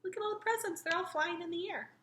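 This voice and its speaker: A woman's voice: extremely high pitch, fast talker, echoey room, noisy background. A woman reading a children's picture book aloud expressively, engaging her young listeners as she goes. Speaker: read-aloud storyteller.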